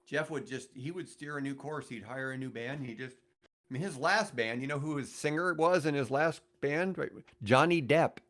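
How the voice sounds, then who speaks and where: A middle-aged man with an American accent and an average-pitched voice chats as a podcast guest. He tells stories in a rambling, enthusiastic way, circling back and adding asides as he goes. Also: normal speed, a bit bright. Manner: rambling, enthusiastic about music. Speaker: podcast guest, guitarist and radio host. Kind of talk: casual storytelling conversation.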